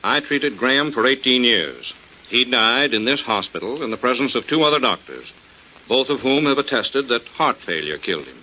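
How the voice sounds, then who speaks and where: A man's voice: medium pitch, sing-song, normal speed, pushed and bright. An actor playing a courteous, assured male physician with a formal, firm manner in a 1940s radio drama. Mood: polite, firm. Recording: hissy muffled 1940s radio recording.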